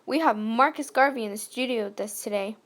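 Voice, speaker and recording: A woman playing a poised 1920s radio news anchor, reading from a script with a creaky voice. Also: a little high, fairly quick, fairly bright tone, some chatter behind, close to an everyday voice. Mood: presentational. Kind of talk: scripted amateur radio news role-play.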